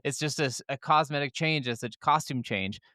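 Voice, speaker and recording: A man's voice: medium pitch, a little fast, pushed and bright, dry recording, studio quality. A man co-hosting a gaming podcast, chatting casually and irreverently, with frequent swearing. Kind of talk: casual opinionated podcast chat.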